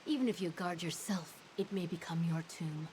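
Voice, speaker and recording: A woman acts out a solemn fey herald for a fantasy game. Her voice sits somewhat low and she speaks with formal, sorrowful gravity. There is clear ambient noise behind her. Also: close to an everyday voice. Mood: grave, warning. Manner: grave, measured, formal storytelling.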